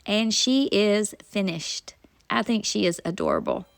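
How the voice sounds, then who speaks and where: A woman's voice: mid-range voice, huge pitch swings, a little slow, gravelly, no echo. A woman hosting a rustic craft tutorial, talking through each step in a chatty, homey voice-over. She sounds a bit nasal from a cold. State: nasal from a cold, sore throat. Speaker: craft channel host.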